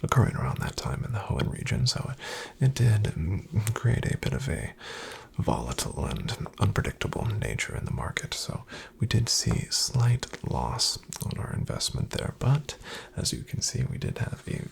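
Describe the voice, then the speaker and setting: A man acts out a reassuring, formally polite financial advisor in a soft ASMR roleplay. His slightly low voice crackles heavily with fry and slips into breathy whispering. He speaks slowly and soothingly, with lively pitch movement and a quietly boastful polish. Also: exaggerated voice. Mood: measured, reassuring.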